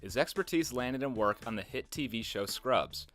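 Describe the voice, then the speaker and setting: A man who reports and interviews, reading a scripted intro and asking measured questions. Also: medium pitch, expressive, fairly bright tone, faint music behind.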